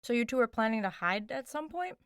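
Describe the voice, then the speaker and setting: A woman's voice: fairly high pitch, expressive, a little fast, a bit bright, smooth, no room sound. A young American woman playing a wry, skeptical but loyal friend who questions directly in a naturalistic audio drama. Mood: wry, wary. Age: young adult. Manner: dry, sardonic, direct.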